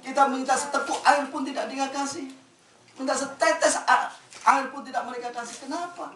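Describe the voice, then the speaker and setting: Brief: storyteller voicing an upset holy man, high-pitched and pressing, in a distant, echoey room. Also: steady tone, normal speed, crowd noise, close to an everyday voice. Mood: indignant. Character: indignant pleading prophet Musa, adult man. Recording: roomy, distant, faint ambience.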